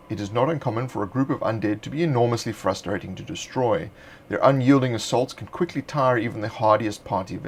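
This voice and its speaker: A man narrating a script in a measured, deliberate reading voice. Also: medium pitch, a little hiss or hum. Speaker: narrator of a game supplement.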